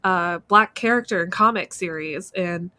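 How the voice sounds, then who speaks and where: A woman's voice: fairly low pitch, moderate pace, sharp tone. A woman talking casually and reflectively as a podcast co-host.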